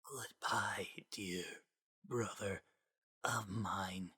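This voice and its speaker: A man voicing a dying skeleton doctor in a story reading, gentle and solemn, with a very faint, breathy, halting whisper. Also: lowish voice, fairly even intonation, heavily acted. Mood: solemn farewell. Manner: slow, halting, solemn delivery. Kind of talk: dramatic fan-fiction reading.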